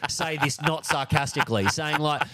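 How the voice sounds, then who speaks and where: A man's voice: a little high, moderate pace, background noise. A young man co-hosting a podcast, chatting casually and reading a news story aloud with jokes and laughs. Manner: jokey, laughs through lines, mock accents. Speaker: podcast co-host.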